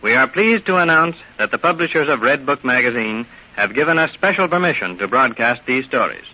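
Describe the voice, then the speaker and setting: Very bright, forceful vintage radio announcer man. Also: medium pitch, lively intonation. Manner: formal, measured. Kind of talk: formal old-time radio announcing, scripted. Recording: dull old radio broadcast recording.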